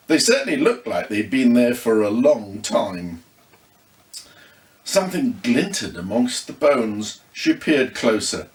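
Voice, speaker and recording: An English man reading his own children's ghost story as expressive, scripted narration. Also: medium pitch. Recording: roomy echo, distant microphone.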